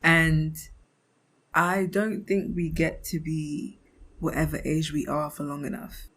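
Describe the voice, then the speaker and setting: A woman's voice: deep voice, fairly even intonation, slow pace, fairly bright tone, husky. A woman in her twenties hosting a casual podcast monologue, chatting expressively and acting out imagined remarks in a giggly, conversational way. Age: 20s. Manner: giggly, often laughing mid-thought. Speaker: podcast host.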